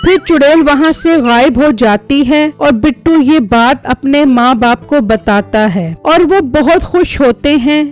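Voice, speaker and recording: Slow-paced woman narrating a children's story. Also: sits high, a little mellow.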